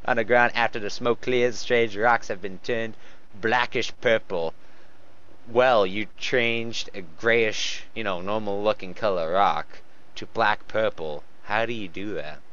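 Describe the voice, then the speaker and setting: A man's voice: lowish voice, a little slow, a bit bright. A man doing a comedic read-aloud of fan fiction, stumbling over lines and adding mocking commentary. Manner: often breaks into laughter and stumbles while reading. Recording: dull lo-fi home recording.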